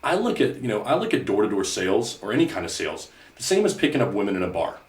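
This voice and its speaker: Man, podcast host, earnest coaching tone, somewhat low pitch, roomy echo. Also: very animated, fast talker. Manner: earnest, repeats himself, many "you know"s. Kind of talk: casual coaching chat.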